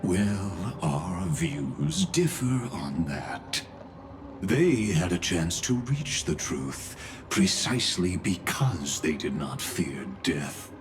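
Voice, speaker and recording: An actor voicing a sinister, eloquent scholar-schemer in a game dub, speaking with theatrical, condescending courtesy and deliberate phrasing. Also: male voice, low-pitched, full character voice. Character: sinister, eloquent, amused male scholar-schemer of a doomed world. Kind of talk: English game dub voice acting.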